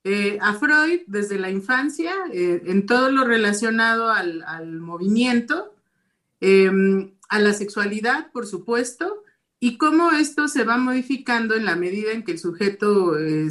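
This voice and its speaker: A woman explaining an academic study in a steady manner, with frequent fillers. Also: mid-range voice, unhurried.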